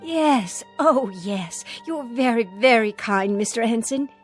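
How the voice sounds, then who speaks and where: An actress playing an anxious, devoted wife in a radio drama, genteel, careful and imploring. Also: female voice, a little high, expressive, a little slow, soft background music. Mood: grateful, soothing.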